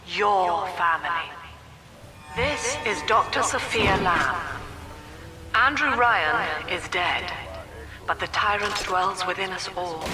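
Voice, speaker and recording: An actress voicing a calm, cold middle-aged woman psychiatrist who leads a cult in a video game, speaking in a measured, soft, controlled way. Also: mid-range voice, lightly acted. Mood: serene broadcast. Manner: measured, soft-spoken, controlled and coldly gentle. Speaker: game character. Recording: reverberant with music behind.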